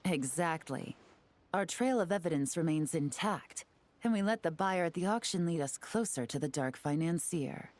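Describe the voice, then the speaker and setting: A woman's voice: low-pitched, animated, a little mellow. A woman playing a cool, confident and shrewd intelligence agent in a game, speaking in a composed, assured way. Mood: confident, calculating. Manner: composed, assured, measured explaining. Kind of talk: game character dialogue. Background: faint music.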